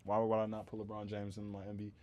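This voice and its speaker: A man co-hosting a casual sports podcast, relaxed and joking, often letting his lines trail off quietly. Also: fairly low pitch, rapid-fire. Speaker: podcast co-host.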